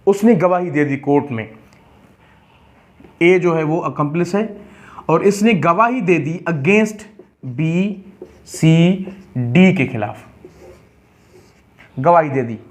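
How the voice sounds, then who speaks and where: A man with a Pakistani accent giving an explanatory law lecture, deliberate and repetitive, halting when reading out in English. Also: a little high, lively intonation. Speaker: law teacher. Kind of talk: explanatory lecture mixing Hindi-Urdu and English.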